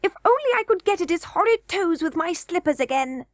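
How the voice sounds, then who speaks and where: A woman voicing a greedy, bad-tempered goblin queen in a storybook reading, shrill and haughty. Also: animated, brisk, no room sound, studio quality. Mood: peevish.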